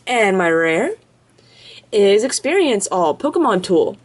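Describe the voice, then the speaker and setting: A chatty woman of medium pitch talks casually while she opens card packs. She rattles off names one after another in an easygoing way, recorded close by at an indoor table. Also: big pitch swings, husky. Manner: chatty, lists card names quickly in a row. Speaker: card-opening video host. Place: indoors at a table, off camera behind hands.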